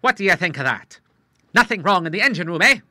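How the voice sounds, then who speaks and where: A man voicing a blunt, gruff elderly retired admiral in an audiobook, with brisk nautical bluster. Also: sits high, big pitch swings, sharp tone, dry recording, clean studio sound, voice pushed up, exaggerated voice. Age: older.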